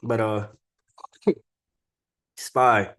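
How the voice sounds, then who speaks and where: A man's voice: on the low side, little pitch movement, extremely slow, fairly bright tone. A man who is sick with a cold gives casual, unscripted chart commentary as a trading video creator, talking informally with slang and frequent check-in tags. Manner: informal and slangy, keeps tagging statements with "right?".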